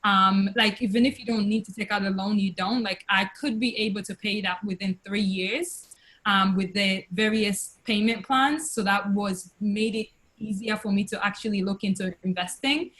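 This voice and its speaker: A young woman from Tanzania, speaking on a video call webinar, with many pauses, fillers and restarts. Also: medium pitch, steady tone, fairly bright tone, low-quality recording. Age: young adult. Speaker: webinar guest, investor. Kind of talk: casual testimonial on a webinar.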